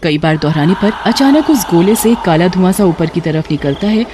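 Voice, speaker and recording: A narrator tells a scripted horror story at a middling pitch. Clear background noise runs under the voice throughout. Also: lively intonation, moderate pace.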